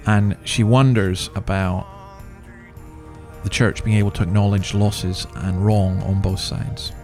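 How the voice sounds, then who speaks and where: A man's voice: lowish voice, steady tone, a little slow, a little mellow, faint music behind. A man hosting a podcast with informal commentary, talking in a conversational, rambling way and correcting himself as he goes. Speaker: podcast host.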